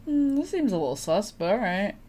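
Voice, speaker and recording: A woman's voice: medium pitch, sing-song, a little fast, dark tone. A woman giving casual, offhand commentary while live streaming a game, with a wry manner and game sound behind her. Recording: clear game ambience noise behind.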